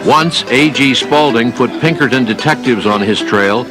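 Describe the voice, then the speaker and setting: A man reading scripted documentary narration in a measured storytelling manner. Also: medium pitch. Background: guitar music.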